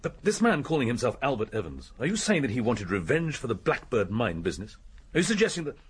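A man's voice: mid-range voice, big pitch swings, fast, slight background noise, close to an everyday voice. A man playing a formal, sceptical English police inspector in a radio drama, questioning patiently and with some disbelief. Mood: probing. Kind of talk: BBC radio drama acting.

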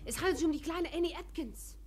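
An actress playing a bold, tense adventuress heroine in a 1950s German radio play, speaking urgently. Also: female voice, very high-pitched, fast.

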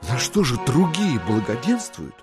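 An actor playing a self-pitying, theatrical schemer who laments in rhetorical flourishes in an audiobook drama. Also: male voice, mid-range voice, sing-song, a little slow. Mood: plaintive, indignant. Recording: studio drama with clear music behind.

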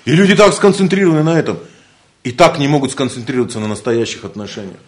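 A man's voice: mid-range voice, fast. Joking, rambling Siberian Russian man lecturing. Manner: joking, rambling, laughs often. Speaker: spiritual lecturer. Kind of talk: informal humorous lecture.

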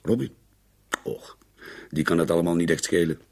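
A man's voice: deep voice, normal speed, creaky, a little mellow. A man playing a disgruntled, dismissive middle-aged father in a radio drama, grumbling sarcastically with a Flemish accent. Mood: resentful. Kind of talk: naturalistic radio drama acting.

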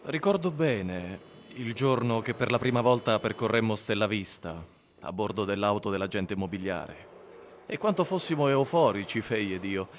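An actor playing a husband looking back on events, narrating a radio drama in a measured, reflective way. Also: male voice, lowish voice, lively intonation, a little hiss or hum, lightly acted. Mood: nostalgic. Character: reminiscing husband recounting a strange house viewing.

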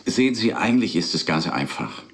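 A man's voice: low voice, expressive, a little fast. An actor in a radio play playing an earnest, articulate married professional man with an ironic, emphatic manner. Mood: wry, zealous. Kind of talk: radio play dialogue and recollection.

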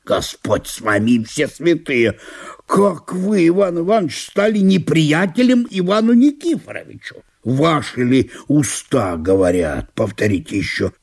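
A man's voice: fairly high pitch, animated, a little slow, slightly rough, full character voice. A man playing a flustered, pious provincial judge, exclamatory and full of astonishment, in a theatrical audiobook. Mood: astonished. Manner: exclamatory, gasping astonishment, swooping.

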